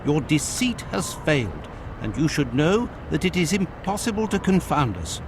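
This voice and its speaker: Animated, higher-voiced Victorian gentleman. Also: moderate pace, noisy background. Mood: indignant. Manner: formal, animated, indignant. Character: excitable, loyal, proper Victorian gentleman, the detective's companion. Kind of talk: video game dramatic acting.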